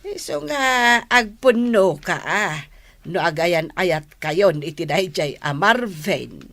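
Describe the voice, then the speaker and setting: An actress with an Ilocano accent playing a kindly older mother in a radio drama, affectionate and drawn-out. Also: on the low side, lively intonation, fairly bright tone, heavily acted. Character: kindly older mother fussing over grown daughter.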